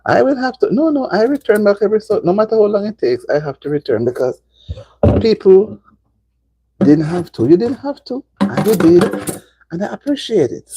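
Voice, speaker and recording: Chatty Jamaican woman, lively pitch. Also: normal speed, a little hiss or hum, low-quality recording. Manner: chatty, talks straight to viewers, repeats herself for emphasis. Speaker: livestream host. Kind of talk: casual live-stream chat.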